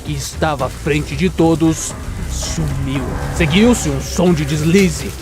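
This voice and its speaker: Casting: male Brazilian narrator, slightly high voice, suspenseful clipped delivery for audio drama, over prominent music. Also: takes it slowly. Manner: suspenseful, short clipped phrases.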